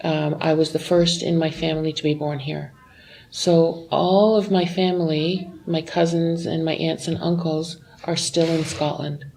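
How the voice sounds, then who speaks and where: A woman's voice: sits low, steady tone, a little slow, gravelly, a little mellow, rough recording. A woman teacher being interviewed, telling a story in a chatty way. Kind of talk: casual interview.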